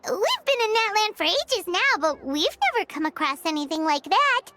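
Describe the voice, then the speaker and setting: A woman voicing a tiny, excitable childlike fairy companion in a video game, with a squeaky voice. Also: normal speed, heavy background noise. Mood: surprised, doubtful. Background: loud children's-style music.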